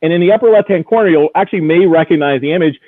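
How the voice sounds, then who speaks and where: A man's voice: a little high, racing, no room sound. A man giving a conversational, enthusiastic expert commentary as he previews collectible items on a live show. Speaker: auction house executive as guest expert. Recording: narrow, phone-like radio-style sound.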